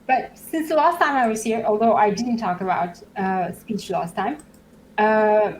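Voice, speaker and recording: A woman whose first language is Turkish, speaking as a guest in a casual tech demo. She talks haltingly, with plenty of fillers and little laughs. Faint steady noise sits under the voice. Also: medium pitch, rough recording. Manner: hesitant, many fillers, laughs often. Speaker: guest developer advocate.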